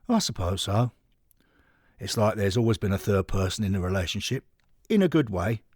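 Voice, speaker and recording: A man's voice: lowish voice, animated, normal speed, darkish tone, slightly rough, no room sound, clean studio sound, voice pushed down. A man voicing a wry, affectionate male football fan who teases his devoted partner in an audio story. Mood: reflective. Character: teasing, affectionate man, half of a devoted couple.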